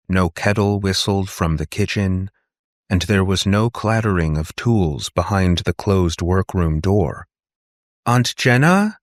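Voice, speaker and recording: A man with a deep voice reads a dramatic story. He takes his time, measured and deliberate with each phrase. The recording is dry, with no room around the voice. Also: dry recording. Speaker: audiobook narrator. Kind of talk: scripted dramatic story narration.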